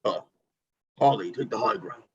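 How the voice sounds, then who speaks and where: A man's voice: lowish voice, normal speed, close to an everyday voice. A man playing a superhero in battle at an amateur table read, on a dull recording. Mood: urgent. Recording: dull lo-fi, loud crowd behind.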